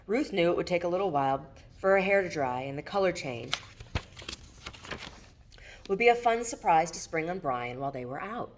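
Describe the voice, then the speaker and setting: A woman narrating her own work of fiction as an audiobook reading. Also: deep voice. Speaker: author reading own novel aloud.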